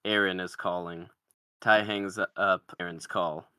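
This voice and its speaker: A man with a low, forceful, bright voice reads out screenplay stage directions. The recording is dry and close, and the delivery is scripted narration for a table read. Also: steady tone, a little slow, clean tone, no room sound.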